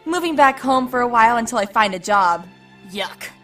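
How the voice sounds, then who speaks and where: A woman's voice: a little high, lively intonation, moderate pace, a bit bright, recorded from a distance, soft background music, pitched above the performer's own voice. A woman voicing a chatty young adult former classmate with a breezy, offhand manner.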